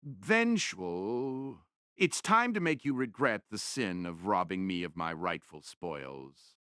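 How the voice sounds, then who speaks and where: A woman's voice: rumbling low, very animated, slow pace, dry recording, clean studio sound, pitched below the performer's own voice, exaggerated voice. Woman voicing a greedy young raider, wildly swooping delivery. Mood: vengeful. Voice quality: clear, bright. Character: greedy, triumphant young male magus raider.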